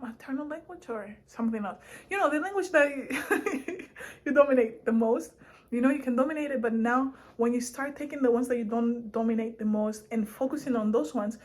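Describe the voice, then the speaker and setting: A woman's voice: on the high side, moderate pace. A young woman from Spain, chatting casually to camera as a vlogger in a playful, laughing way, drifting between languages. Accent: Madrid Spanish.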